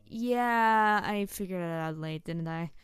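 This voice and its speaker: A woman voicing a playful, mischievous tanuki spirit girl in a roleplay audio drama, teasing the listener in an excitable, rambling way. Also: on the low side, takes it slowly, smooth, no echo. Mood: sheepish, wistful. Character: playful, mischievous young-sounding tanuki trickster spirit girl, over two hundred.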